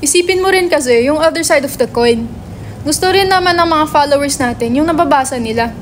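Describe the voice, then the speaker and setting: Babaeng gumaganap na brusko, palamurang binata, mataas ang boses. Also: female voice, high-pitched, fairly quick, a little hiss or hum, natural voice. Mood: argumentative. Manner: swearing, slangy, insistent. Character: brash, foul-mouthed young male writer running a website. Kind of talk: dramatic reading of a stage script.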